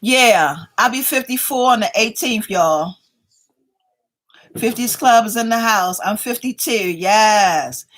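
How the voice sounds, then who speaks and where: Fifty-something woman on a livestream, kinda high voice, talks slow and playful, says y'all a lot. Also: a bit bright. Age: 50s. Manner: playful, chatty, keeps addressing viewers as y'all. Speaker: livestream host. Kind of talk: casual live chat reading viewer comments.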